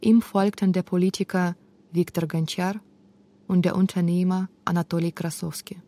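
A woman's voice: on the low side, level tone, normal speed, warm tone. A woman reading scripted narration for a radio documentary. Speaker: narrator and author of a radio feature.

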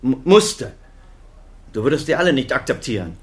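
A man's voice: medium pitch, very animated, moderate pace, lightly acted. An actor as a defensive, guilt-laden father. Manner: self-justifying, defensive, pleading. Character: defensive middle-aged doctor father, guilty and pleading. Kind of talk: naturalistic radio drama acting.

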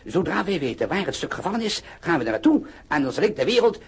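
An actor playing a vain, irascible older observatory director in a Dutch radio play, grandiose and declamatory, with a husky voice. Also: male voice, fairly high pitch, very animated, fast talker, exaggerated voice. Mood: self-important. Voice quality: husky, breathy. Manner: grandiose, declamatory, abrupt outbursts.

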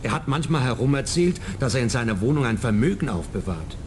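A smug, well-off middle-aged man , played by an actor in a radio crime comedy. He speaks with an animated melody and a self-justifying, defensive manner. There is faint rumbling noise behind him. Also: brisk, lightly acted.

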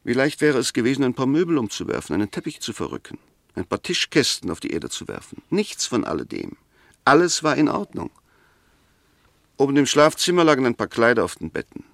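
An insistent, argumentative male advocate in a mid-century radio play. He has a middle-pitched voice and drives each point home with rhetorical emphasis. The sound is dry and close. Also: big pitch swings, a little fast, vocal fry, no echo. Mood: insistent, rebutting. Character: insistent male advocate arguing a murder suspect's innocence. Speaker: radio actor. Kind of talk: 1960s German radio play dialogue.

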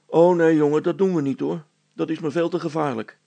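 A man acts out a middle-aged handyman father from a storybook, practical and careful. The voice is heavily creaky and rough, recorded very dry, with hearty everyday talk. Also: a little high, fairly even intonation, a little fast, mellow, dry recording, pitched above the performer's own voice. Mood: concerned, firm. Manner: colloquial, hearty, plain-spoken.

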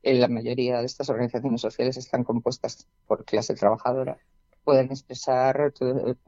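Radio guest from a union, calm explainer, warm timbre, lo-fi dry line. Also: medium pitch, dry recording. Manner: measured, affirming, explanatory. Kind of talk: conversational radio interview.

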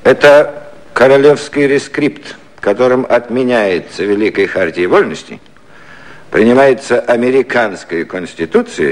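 An actor playing a courteous, sly British prime minister in a satirical 1950s radio play, with leisurely, ironic phrasing. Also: male voice, mid-range voice, natural voice.

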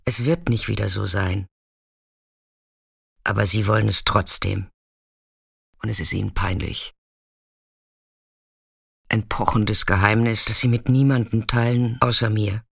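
Weathered radio-drama storyteller, creaky, unhurried, understated, intimate, close dry sound. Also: male voice, on the low side, steady tone, a bit bright, dry recording, full character voice. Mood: matter-of-fact, confiding. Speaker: actor in a radio play. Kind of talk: intimate radio play narration and monologue.

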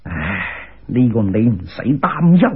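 A man voicing a calm, amused, commanding immortal guide in an unhurried, lofty manner, in a storytelling recording. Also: on the low side, slightly rough, narrow, tinny audio, voice pushed down, heavily acted. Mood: solemn.

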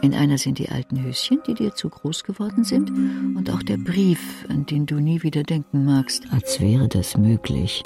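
A woman's voice: low voice, vocal fry. A woman giving a scripted literary narration in the second person, as in an audiobook.

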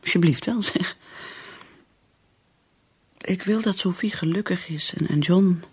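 An actress playing a bitter, driven middle-aged career woman who drinks, in a radio play, with a breathy, halting, brooding delivery. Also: fairly low pitch, dry recording. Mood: defensive, wistful.

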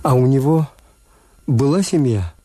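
A man playing a world-weary, ironic English hotel owner in a radio drama, with measured, wry delivery. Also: mid-range voice, lively intonation, mellow, breathy edge, no echo. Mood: uneasy, subdued. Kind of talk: Soviet radio drama, first-person narration and dialogue. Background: music.

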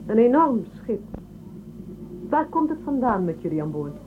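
A smoothly courteous imperial prosecutor in a radio play. Her pitch swings in lively curves as she probes and coaxes with controlled politeness. The recording is tinny and phone-like, with clear noise. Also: moderate pace. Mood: probing, coaxing. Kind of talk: radio drama acting.

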